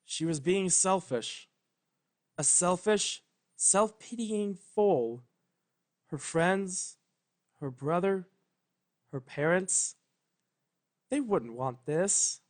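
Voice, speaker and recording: A man with a fairly high voice narrates a piece of fan fiction. He reads from a script in a dramatic storytelling style. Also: animated, extremely slow, long pauses.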